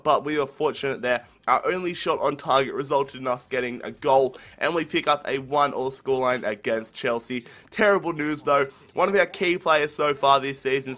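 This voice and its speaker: Young man with the flu. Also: mid-range voice. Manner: excited play-by-play, rising on chances. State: sick with the flu. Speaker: gaming commentator. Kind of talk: casual gameplay commentary.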